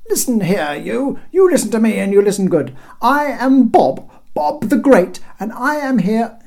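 A storyteller acting a short, irascible male conqueror from a comic audiobook. He declaims in a loud, raised pitch, pompous and indignant. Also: big pitch swings, unhurried, vocal fry. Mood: indignant, furious. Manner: bombastic, indignant shouting.

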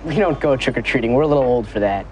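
A man's voice: mid-range voice, expressive, very fast, a little mellow, natural voice. A young actor playing a cool, dismissive teenage boy in a TV sitcom, with noise behind him. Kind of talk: sitcom acting. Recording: noisy TV sitcom set.

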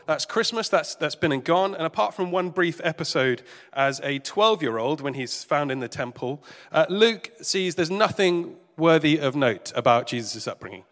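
A man preaching a sermon in a gentle, careful, conversational way, sounding a bit congested and nasal. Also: on the high side. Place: church service hall. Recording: reverberant hall with faint music.

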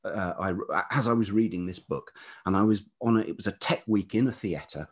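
A middle-aged British man with a velvety voice, talking reflectively and haltingly as an interview guest, often circling back on his thoughts. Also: lowish voice, slightly rough. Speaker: podcast guest, writer and radio producer.

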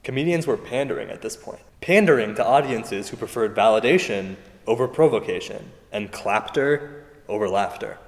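An actor playing an earnest comedian turned activist in a radio play, speaking in a measured way in a reverberant space. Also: male voice, mid-range voice, close to an everyday voice. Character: earnest, aggrieved male comedian turned free speech activist. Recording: long hall reverb.

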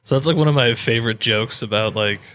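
A man's voice: medium pitch, fast talker, fairly bright tone, slight background noise. An American man chatting casually as a podcast co-host, rambling and joking in an informal way.

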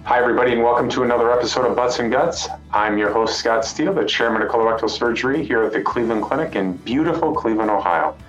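A man's voice: medium pitch, soft background music. Host casting: middle-aged man, chatty and friendly, recorded in a roomy space with dull audio and faint ambience. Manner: friendly, conversational, uses "you know" and quick asides. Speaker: podcast host, surgeon. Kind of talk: hosting a medical podcast interview.

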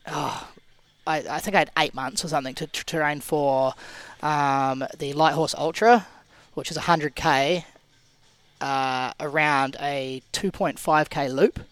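A man's voice: on the high side, measured pace, clear, resonant tone, no echo. Casual man, dry close sound. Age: 30s. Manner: relaxed, rambling storytelling with frequent restarts. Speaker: guest, CrossFit athlete and coach. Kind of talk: casual podcast interview.

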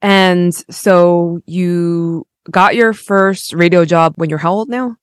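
A woman's voice: fairly low pitch, fairly even intonation, slow, clear, resonant tone, no echo. Informal woman interviewer, dry sound. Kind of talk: casual conversational interview.

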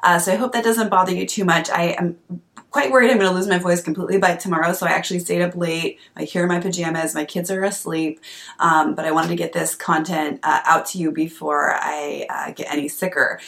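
A woman in her thirties hosting a podcast at home, hoarse from a cold, talking in a chatty, earnest way. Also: fairly low pitch, steady tone, a little fast, fairly bright tone. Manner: chatty and earnest, with fillers and coughs. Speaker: podcast host and coach. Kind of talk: casual solo podcast talk. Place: at home at night.

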